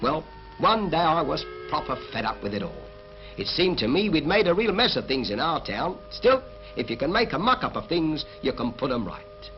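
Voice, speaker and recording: An actor playing a chatty working-class English townsman telling his story in a 1950s animated film. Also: fairly high pitch, normal speed. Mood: grumbling. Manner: chatty, colloquial. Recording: dull 1950s film soundtrack, loud music.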